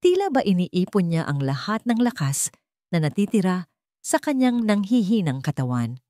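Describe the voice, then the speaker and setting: A woman's voice: mid-range voice, sing-song, normal speed, mellow, no room sound. A woman reading scripted story narration in a dramatic storytelling style.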